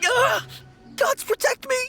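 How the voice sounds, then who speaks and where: A man's voice: very high-pitched, slow, gravelly, sharp tone, soft background music, heavily acted. A man voicing a timid young novice undertaker in an anime-style video game, with polite, formal, nervous phrasing. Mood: panicked.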